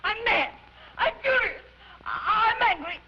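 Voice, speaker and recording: An actress playing a vain, hot-tempered, melodramatic opera diva in an old comedy, theatrical and given to wailing. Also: female voice, high voice, expressive, normal speed. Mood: furious, hysterical. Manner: theatrical, wailing, shrieking tantrums. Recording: muffled, noisy old film soundtrack.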